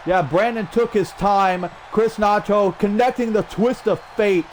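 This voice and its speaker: A man calling a video game wrestling match play by play, energetic with shouted exclamations and a strained throat. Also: sits high, measured pace. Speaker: play-by-play commentator. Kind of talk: excited wrestling commentary over video game. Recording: loud game crowd and noise behind. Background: video game wrestling crowd.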